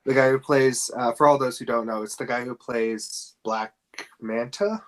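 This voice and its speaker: Male podcast co-host, mid-pitched, casual and a little halting. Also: fairly even intonation. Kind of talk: casual podcast chat about fan casting.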